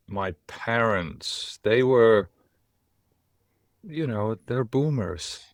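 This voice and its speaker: A man from Denmark, a former monk, telling his life story as a podcast guest in a reflective, wry way with lively asides. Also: fairly low pitch, no room sound, studio quality. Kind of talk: casual podcast conversation.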